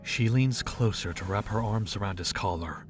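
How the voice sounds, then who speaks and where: A man's voice: lowish voice, normal speed, soft background music. A man reading scripted third-person narration as the storyteller of an audio drama.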